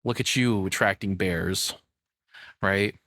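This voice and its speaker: A man's voice: low-pitched, slow, fairly bright tone, dry recording, studio quality. A man giving casual, rambling reaction commentary in a sarcastic, cynical manner with frequent swearing. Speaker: streamer reacting to a video.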